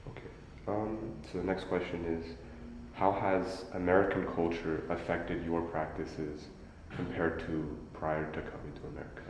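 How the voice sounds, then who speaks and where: A man's voice: low voice, level tone, moderate pace, few pauses. A young man interviewing a guest, reading questions casually with stumbling restarts. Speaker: student interviewer. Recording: roomy hall with faint noise.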